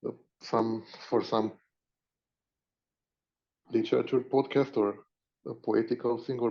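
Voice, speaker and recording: A man with a Romanian accent talking casually as a podcast co-host, in a halting way, searching for his words. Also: lowish voice, gravelly. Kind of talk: casual unscripted conversation. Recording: dull lo-fi audio.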